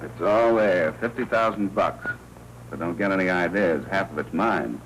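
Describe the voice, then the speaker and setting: An American actor playing a jealous, suspicious small-time crook in a 1940s film noir. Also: male voice, sits low, normal speed, slight background noise, poor audio. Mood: guarded. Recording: 1940s film soundtrack, music behind.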